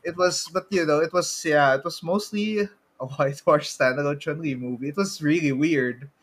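A man with a Filipino accent co-hosts a casual podcast chat. His voice sits a little high, and he talks in a halting way, often groping for the next phrase. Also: fairly bright tone, breathy edge. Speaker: podcast co-host.

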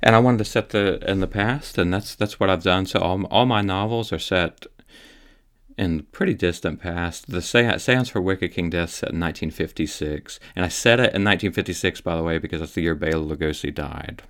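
A man's voice: low-pitched, fairly bright tone. A man, a guest author on a podcast, talking in a casual, thoughtful way with frequent restarts. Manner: thoughtful, halting, many restarts.

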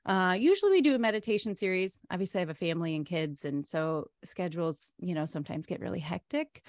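Middle-aged woman guest, earnest and warm-hearted talker, low pitch, dry booth sound. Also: lively intonation, no room sound. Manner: earnest, frequent ums, quick bursts. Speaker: podcast guest, meditation instructor. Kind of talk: casual interview chat.

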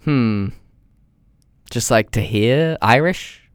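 A man's voice: mid-range voice, drawn-out words, dry recording, clean studio sound. A young man chatting casually with a friend, laid-back, swearing freely and laughing often. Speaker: friend in conversation. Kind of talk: casual chat.

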